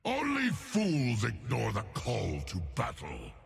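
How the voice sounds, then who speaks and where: A man voicing an ancient, huge, wrathful bear god in a video game, with a deep gravelly growl and slow, grandiose, menacing proclamations. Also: huge pitch swings, exaggerated voice. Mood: proud, wrathful. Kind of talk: video game character voice lines.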